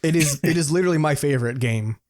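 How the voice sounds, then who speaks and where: A young man co-hosting a podcast in casual, excitable, sweary fan talk. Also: fairly high pitch, quick pace. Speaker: podcast co-host. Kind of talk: casual chat.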